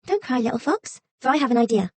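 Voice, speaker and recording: A woman voicing a headstrong young girl in a storybook reading, with high, bright exclamations. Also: sing-song, fast, slightly rough, no echo. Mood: eager.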